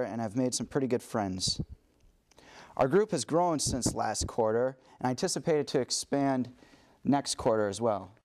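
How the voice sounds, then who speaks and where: A young man, a student percussionist, speaking earnestly in a measured way. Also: mid-range voice, darkish tone, rough recording. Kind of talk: interview statement.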